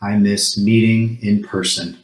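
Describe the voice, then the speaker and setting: A man leading a congregation through a solemn grief ritual, speaking in measured short phrases with pauses between them. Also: lowish voice, steady tone. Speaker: congregation worship leader. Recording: roomy, echoing sound.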